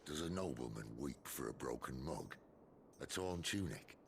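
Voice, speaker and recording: A middle-aged rebel outlaw for a game cutscene. His voice sits extremely low and crackles with gravel, swinging widely as he drawls with wry, theatrical defiance. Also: expressive, moderate pace, heavily acted. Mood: bitter, resolute. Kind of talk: video game cutscene acting. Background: music.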